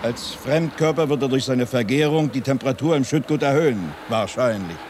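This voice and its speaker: A man's voice: medium pitch, fairly quick, lightly acted. A male facility guide played by an actor speaks in a middle register over faint outdoor noise. He is factual and unruffled, explaining like a tour guide in a radio drama and sidestepping what he dislikes. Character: matter-of-fact, evasive male silo facility guide.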